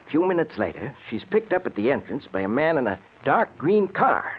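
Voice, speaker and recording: An actor playing a deferential, slightly uneasy male private detective with a husky, hesitant delivery, in old-time radio style. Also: medium pitch, big pitch swings, a little fast, slight background noise, close to an everyday voice. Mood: businesslike, hesitant. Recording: tinny old radio broadcast.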